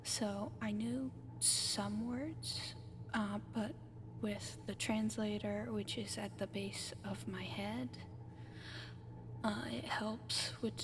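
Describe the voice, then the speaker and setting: Woman playing a gentle female alien, slow halting speech, mid-pitched, hesitant new learner of human language, ASMR roleplay, noticeable background noise. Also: natural voice. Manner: halting, hesitant, searching for words. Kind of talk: ASMR roleplay addressed to the listener. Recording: clearly noisy background ambience.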